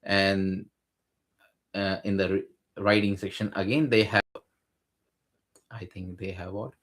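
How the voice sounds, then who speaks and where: Low-voiced man explaining with many fillers. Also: fairly even intonation, unhurried, fairly bright tone. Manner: hesitant, many fillers and restarts. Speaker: guest sharing exam experience. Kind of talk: casual conversational explanation.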